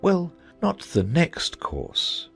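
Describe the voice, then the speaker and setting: Give the part of a gentle old knight to a man acting it out, a solemn, muddled inventor in a storybook drama. He rambles earnestly and thoughtfully, his pitch swinging widely from low to high, with faint music behind him. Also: huge pitch swings, drawn-out words, vocal fry, breathy edge, full character voice. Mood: slow, downcast.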